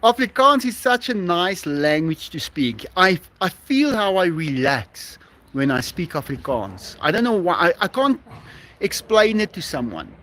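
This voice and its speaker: A South African man chats casually with his livestream viewers in a high, lively voice. He speaks slowly, laughs often and keeps restarting his sentences. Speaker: livestream host. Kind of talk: casual live-stream chat with viewers.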